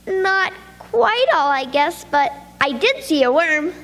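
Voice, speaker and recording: A woman's voice: high-pitched, animated, slow pace, long reverb tail, music in the background, full character voice. A woman reading the part of a flustered schoolboy who stammers out a long, breathless excuse. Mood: sheepish.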